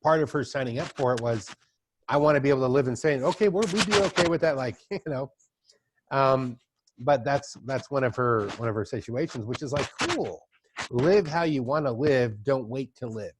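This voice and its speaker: A man's voice: medium pitch, animated, normal speed, background noise. A middle-aged man hosting a podcast, chatting casually and telling loose stories with asides. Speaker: podcast host. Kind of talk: casual conversation.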